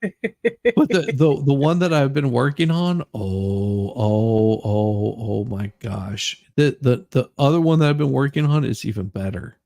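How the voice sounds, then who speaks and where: A man chatting casually as a livestream host, halting and often restarting his words. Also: mid-range voice, mellow, dry recording, clean studio sound. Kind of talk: casual chat.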